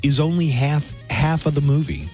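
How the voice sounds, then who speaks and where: A man's voice: a little high, very animated, normal speed, a little mellow, soft background music. A middle-aged man hosting a morning radio show, chatting casually with wry, dry jokes. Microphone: studio microphone.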